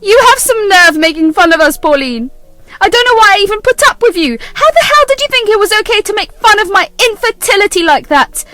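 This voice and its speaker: A woman's voice: very high-pitched, sing-song, fairly quick, sharp tone, no room sound, soft background music, voice pushed up, heavily acted. A woman voicing a hurt young wife who accuses her mother-in-law bitterly. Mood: accusing.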